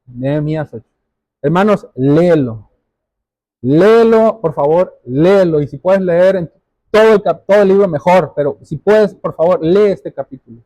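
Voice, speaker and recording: A male pastor delivers a sermon in a high, mellow voice. He exhorts his listeners earnestly and directly, circling back to key phrases to stress them. The sound is dry, with very little room around it. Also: normal speed, dry recording, rough recording. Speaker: pastor preaching to a congregation.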